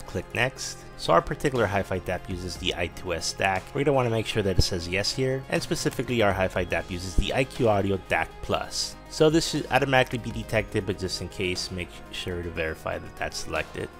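A man in his thirties with a low-pitched voice hosts a tech tutorial. He guides the listener through each step in an instructional way. Music plays faintly behind his narration. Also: expressive, brisk, hardly any pauses, a bit bright. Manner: instructional, repeatedly directing the listener. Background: background music.

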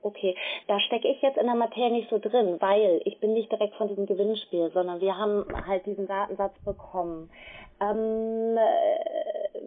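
A woman speaks as a call centre agent on a sales call. She is polite and businesslike, with a voice of average pitch. She comes through a tinny, narrow telephone line. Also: fairly even intonation, normal speed. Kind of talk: scripted sales phone call.

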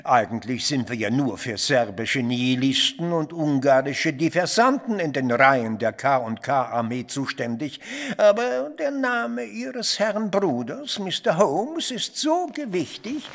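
A shrewd, jovial army officer with a Viennese accent in an audio drama. He draws words out slowly with hugely animated melody. His manner is teasing, theatrical and pleased with himself. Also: on the high side, heavily acted. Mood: genial, commanding. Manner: teasing, theatrical, self-satisfied.

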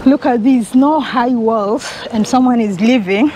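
A woman's voice: a little high, a little slow, warm tone, a little hiss or hum, poor audio. A young Kenyan woman with a Kenyan accent, chatting to an action camera held at arm's length as she walks a sunny residential street. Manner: chatty, enthusiastic, talking to the camera while walking. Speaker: travel vlogger. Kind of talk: walking travel vlog.

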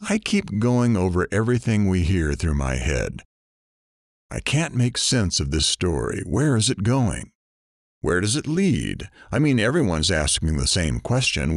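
A man's voice: low-pitched, big pitch swings, normal speed, no room sound. A man playing a weary, self-mocking morning radio host in an audio drama, with a dry, sardonic delivery. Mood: apologetic, baffled. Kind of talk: scripted audio drama broadcast.

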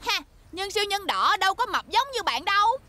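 A woman's voice: very high-pitched, sing-song, fairly quick, a little hiss or hum, full character voice. A woman voicing a bossy, spirited little girl who plays superhero leader in a dubbed children's cartoon, speaking emphatically and theatrically. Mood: cocky, proud. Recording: loud music behind the voice.

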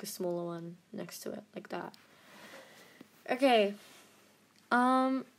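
Hesitant young woman rambling through a tutorial. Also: medium pitch. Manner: rambling, self-deprecating, hesitant with many fillers. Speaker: editing tutorial creator. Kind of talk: casual screen-recorded tutorial.

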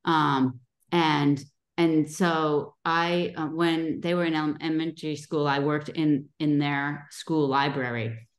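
A middle-aged woman, a guest author, talking casually over a video call, chatty with many pauses and ums. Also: sits low, steady tone.

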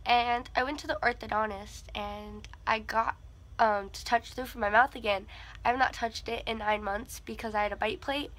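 A young woman with a cold chats to her laptop camera from her bedroom. Her voice sits a little high and sounds bright, with a stuffy, congested nose. She rambles casually and fills gaps with "like". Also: fairly even intonation, moderate pace. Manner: chatty, many "like" fillers. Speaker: vlogger. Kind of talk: casual chat. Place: bedroom with a tapestry behind her.

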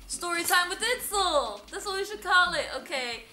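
Young woman giving casual tips on a vlog, highish voice, roomy shop sound, music behind. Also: animated, moderate pace, bright, forceful tone. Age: young adult. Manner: chatty, informal, explanatory. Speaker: vlog host, boutique worker. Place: indoor dress shop. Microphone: camera a few metres away. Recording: roomy sound from camera distance.

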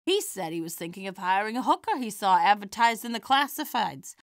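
A woman playing a feisty, warm-hearted elderly stand-up comedienne in an audio drama, with wry theatrical comic delivery. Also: mid-range voice, animated, fairly quick, no echo, clean studio sound, lightly acted. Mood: wry, playful.

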